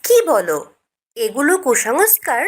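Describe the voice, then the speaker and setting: A woman voicing a naive, superstitious elder sister in an Islamic cartoon, explaining things in an animated way. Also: a little high, a little slow. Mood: surprised.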